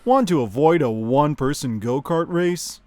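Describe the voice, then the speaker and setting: A man's voice: a little high, animated, normal speed, heavy background noise, close to an everyday voice. A man playing a smug, sarcastic news host in a comedy audio show, delivering parody bulletins and ad reads with dry showmanship. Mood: salesman patter. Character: smug, sardonic male news show host stirring up drama.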